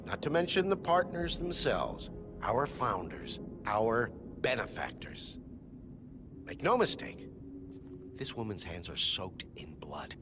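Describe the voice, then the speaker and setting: An actor playing a smug, scheming secret-society leader in a video game, speaking in grandiose, theatrical speeches. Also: male voice, on the low side, very animated, slow pace, music in the background. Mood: rousing, indignant. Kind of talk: dramatic video game acting.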